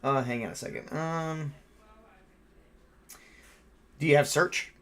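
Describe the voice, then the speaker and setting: A man's voice: fairly high pitch, takes it slowly, a bit bright. A man running a tabletop roleplaying game, narrating and joking casually with the other player.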